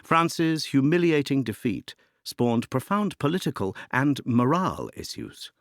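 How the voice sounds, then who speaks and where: A man's voice: medium pitch, sing-song, measured pace, no echo, studio quality. A man reading a scripted educational introduction to music as narrator, articulate and expository, with rhetorical turns of phrase.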